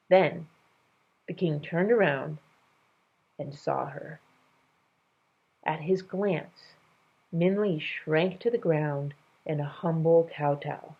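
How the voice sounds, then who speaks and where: A woman reading a storybook aloud as a storyteller, in an expressive scripted narration. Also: low-pitched, slightly rough, highs cut off.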